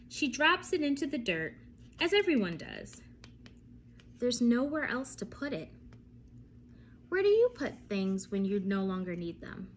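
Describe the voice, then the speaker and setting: A woman with a fairly high voice reads a story for children. Her delivery is slow and very animated, and she speaks straight to her young listeners with little questions. A light background noise sits behind her. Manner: expressive, addresses young listeners directly with questions. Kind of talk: read-aloud bedtime story for children, with asides to listeners.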